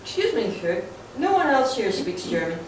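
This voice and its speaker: A man's voice: sits high, big pitch swings, normal speed. Man acting formal English narrator, pompous, comic radio play, echoey distant mic, faint noise. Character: stuffy, polite old-school English narrator.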